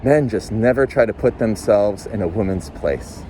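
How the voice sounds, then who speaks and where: A man's voice: on the low side, normal speed, warm tone, slight background noise, close to an everyday voice, low-quality recording. Man voicing a plaintive, quavering wife in an audiobook. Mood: tearful, hurt. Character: tearful pleading wife wanting nice things.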